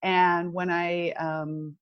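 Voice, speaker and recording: A middle-aged Cuban American woman with a Cuban American accent, speaking as an interview guest in a measured, reflective way about spiritual ideas. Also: fairly low pitch, level tone, smooth, lo-fi sound. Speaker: mentor, guest. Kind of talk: interview conversation.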